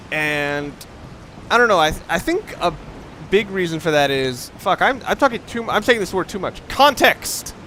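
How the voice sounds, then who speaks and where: A man's voice: high voice, expressive, a little slow, long pauses, pushed and bright, a little hiss or hum. A young man giving casual, rambling gameplay commentary, swearing often and poking fun at himself. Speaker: let's play commentator.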